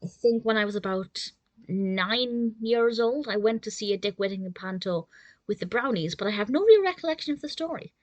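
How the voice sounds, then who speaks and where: A woman delivers a casual review in a chatty, enthusiastic way. Her voice sits at an average pitch for a woman. The sound is dry, with very little room around it. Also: animated, moderate pace, darkish tone, no echo, lo-fi sound. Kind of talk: casual spoken review to camera.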